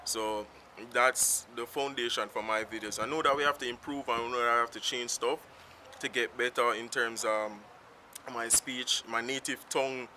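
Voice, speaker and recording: A man with a Jamaican accent talks loosely to his own camera outdoors, letting his thoughts ramble on without a script. His voice is very bright and middle-pitched, and faint street traffic drifts in behind him. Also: fairly even intonation, normal speed. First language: Jamaican Patois. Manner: rambling, unscripted, free-flowing. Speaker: vlogger. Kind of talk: casual talk to camera. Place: outdoors in town, in the sun. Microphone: self-filmed camera.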